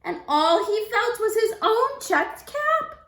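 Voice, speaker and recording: A woman teacher reading a storybook aloud to young children in an expressive, deliberate way. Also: extremely high pitch, reverberant. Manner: deliberate, expressive, prompting listeners with questions.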